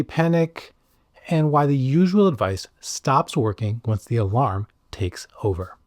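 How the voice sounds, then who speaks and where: A man narrating a scripted educational explainer as a psychiatrist host, stressing key words with dramatic pauses. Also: medium pitch, a little mellow, no echo, studio quality.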